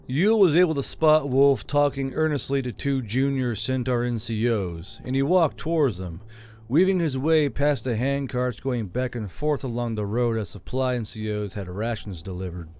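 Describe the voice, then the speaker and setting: A man's voice: medium pitch, moderate pace, darkish tone. A man reading a scripted fantasy audiobook as its narrator. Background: background music.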